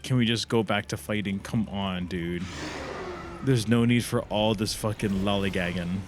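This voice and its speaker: A man's voice: fairly low pitch, normal speed, background noise. A man casually commentating his gameplay with slangy swearing and an impatient, exasperated manner. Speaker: live streamer playing a game. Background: video game music.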